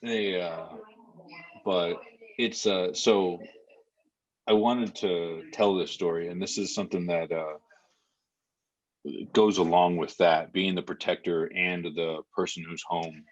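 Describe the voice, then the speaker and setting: A man with a very low, deep voice talks through a story in a plain, matter-of-fact way. He keeps stopping and restarting his sentences, and "like" and "um" fill the gaps. The audio is slightly muffled and lo-fi, like a home podcast recording. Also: steady tone. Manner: rambling, halting, full of fillers and restarts. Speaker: podcast guest. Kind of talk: casual podcast conversation and storytelling.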